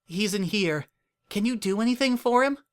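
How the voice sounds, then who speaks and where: A man's voice: high-pitched, expressive, normal speed, voice pushed up. A man voicing a kindly, worried female pony mayor in a storybook reading, with an urgent, caring manner.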